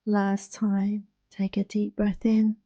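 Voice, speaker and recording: A woman guiding a yoga nidra relaxation in a soothing, hushed, unhurried manner, close to the microphone. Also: medium pitch, dry recording, muffled recording. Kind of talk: guided relaxation meditation.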